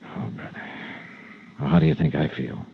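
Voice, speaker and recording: An actor playing a hard-boiled, impatient American investigator, brisk and blunt, in the style of old radio detective drama. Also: male voice, rumbling low, fairly even intonation, vocal fry, slightly rough, full character voice. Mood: questioning. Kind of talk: 1940s-50s radio detective acting. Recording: dull vintage radio recording.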